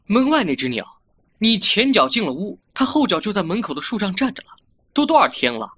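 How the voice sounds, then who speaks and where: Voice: medium pitch, big pitch swings, fairly quick, fairly bright tone, slightly rough. A performer playing a mouthy, petulant young fox-spirit boy in a Chinese audio drama, scolding and cocky. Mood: indignant.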